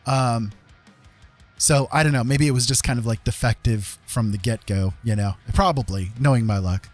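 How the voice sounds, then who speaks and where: A man's voice: medium pitch, moderate pace, faint music behind. A man livestreaming in a casual, rambling way, with a jokey, self-deprecating, colloquial manner. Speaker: live streamer and digital artist.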